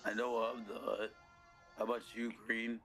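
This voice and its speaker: A man in game voice chat, asking short questions in a quiet, low-key way. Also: completely flat delivery, measured pace, soft background music.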